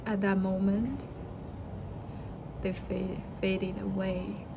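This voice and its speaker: A woman's voice: medium pitch, little pitch movement, extremely slow, creaky. A young woman, a native Chinese speaker, talking through a personal reflective monologue with many fillers and restarts. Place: outdoors by the sea. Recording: noisy outdoor ambience.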